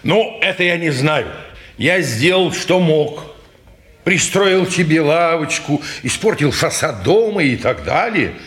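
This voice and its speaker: An actor playing a pompous, grumbling old householder in a Russian stage drama, halting and condescending. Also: male voice, fairly high pitch, sing-song, a bit bright. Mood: grumbling, displeased. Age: older.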